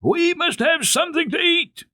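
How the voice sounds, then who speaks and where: A vexed young goatherd boy from a children's storybook, acted out by a man. The voice jumps up high and shrill, with a blunt, hungry demand in it. The sound is dry. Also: animated, normal speed, sharp tone, dry recording, full character voice.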